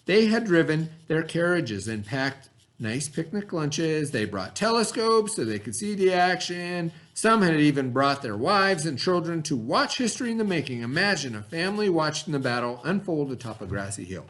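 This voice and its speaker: A man with a somewhat high-pitched voice reads aloud in a teacherly way. His delivery is explanatory, with asides to clarify words and questions put to young listeners. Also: a bit bright. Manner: explanatory, pausing to gloss words and ask questions. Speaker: teacher reading aloud to pupils.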